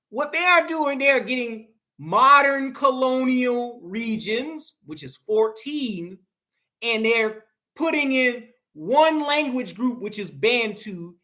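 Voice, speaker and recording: A woman's voice: a little high, a bit bright. A woman in a casual talk giving blunt, profane, run-on explanations. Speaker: co-host. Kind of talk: casual heated commentary.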